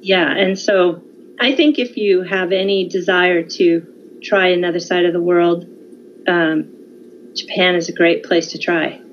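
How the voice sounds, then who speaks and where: Woman, mid pitch, measured and informative guest, lo-fi call audio with faint hiss. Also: steady tone. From California, United States. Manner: measured, informative, with frequent um and uh pauses. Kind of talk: conversational interview.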